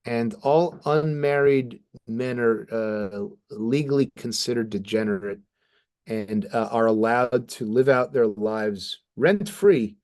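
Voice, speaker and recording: A man with a mid-pitched voice talks casually as a podcast co-host. He sounds like an animated, enthusiastic fan discussing comics in detail, with some mild swearing. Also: slow.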